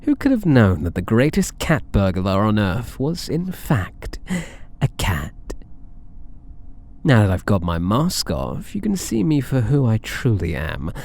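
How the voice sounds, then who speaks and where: Man playing possessive British catboy burglar for ASMR roleplay: mellow, unhurried, smug and doting, teasing delivery. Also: on the low side, huge pitch swings, vocal fry, exaggerated voice. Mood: playful, smug. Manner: slow, coaxing, teasing, theatrical endearments. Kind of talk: ASMR roleplay, intimate scripted monologue.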